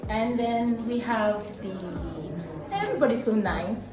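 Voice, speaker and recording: A woman's voice: a little high, unhurried, reverberant, music in the background. A woman from Nigeria, a student, chatting loosely and laughing as she answers on camera. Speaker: student interviewee.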